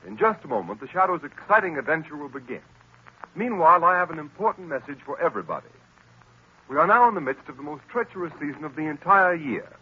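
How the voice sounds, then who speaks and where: A man announcing and narrating in a booming, emphatic 1940s radio style. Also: very animated, fast, muffled recording, slight background noise. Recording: old radio recording with music.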